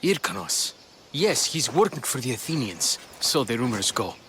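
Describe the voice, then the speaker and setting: A brusque, animated Spartan soldier. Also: male voice, medium pitch, normal speed, a little hiss or hum. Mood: brusque, insistent. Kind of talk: dramatic game cutscene acting.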